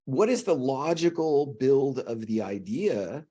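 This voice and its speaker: A man's voice: medium pitch, big pitch swings, takes it slowly, dark tone, husky. A man hosting a podcast interview, speaking enthusiastically and complimenting his guest. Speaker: podcast host. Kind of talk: conversational interview.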